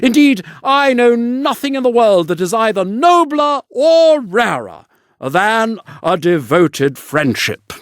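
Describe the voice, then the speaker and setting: A man's voice: very high-pitched, big pitch swings, takes it slowly, dry recording, studio quality, voice pushed up, full character voice. A man voicing a pompous, self-centred old male water rat in a storybook, with haughty, exclamatory delivery. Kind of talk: storybook character voice.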